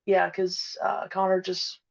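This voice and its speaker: A woman in her thirties talking casually as an interview guest, with hesitant, halting phrasing. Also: on the low side, monotone, creaky, dull audio. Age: 30s. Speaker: podcast guest, audio drama creator.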